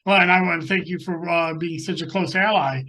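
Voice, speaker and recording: A man hosting a casual interview over a video call, talking haltingly with many filler sounds. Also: on the high side, pushed and bright, low-quality recording. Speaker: podcast host and elections commissioner.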